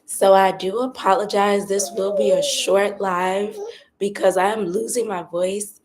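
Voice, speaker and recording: Young woman, hoarse and raspy from a cold, soft-spoken, casual live chat, phone mic, patio outdoors. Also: mid-range voice, level tone, measured pace, a crowd in the background. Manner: talks softly, holding back her voice. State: hoarse, losing her voice from a cold. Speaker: vlogger doing a live stream. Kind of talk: casual chatty live update. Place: outdoors by her patio at home.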